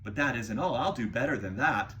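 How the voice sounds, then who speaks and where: A man's voice: mid-range voice, lively intonation, fairly quick. A man voicing a boastful, tyrannical turtle king from a children's storybook, imperious and declaiming in rhyme. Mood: furious, commanding. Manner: imperious, shouting and gloating in rhymed verse.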